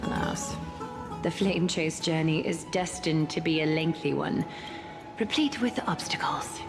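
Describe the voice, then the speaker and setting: A woman voicing a composed, regal leader in a video game, with formal, measured and authoritative delivery. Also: low voice, creaky, loud music behind the voice. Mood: stern, weary.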